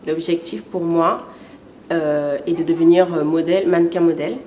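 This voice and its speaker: A woman's voice: fairly low pitch, fairly even intonation, moderate pace, warm tone. A woman in her twenties of Cameroonian origin speaks in an interview about becoming a model. She phrases things carefully and formally. Faint noise sits behind her. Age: 20s. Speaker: aspiring model. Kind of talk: testimonial interview.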